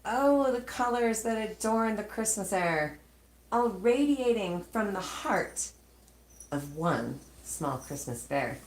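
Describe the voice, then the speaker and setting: Expressive woman storyteller, lively swooping pitch. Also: takes it slowly, reverberant. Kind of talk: expressive read-aloud of a rhyming children's storybook.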